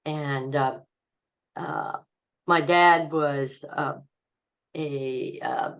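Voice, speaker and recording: An older woman speaking slowly in a low voice, a comedian sharing life stories with an interviewer. She rambles and halts with fillers and laughs often as she goes. Her talk is low and unhurried. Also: fairly even intonation, highs cut off. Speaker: comedian guest. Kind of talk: casual interview storytelling.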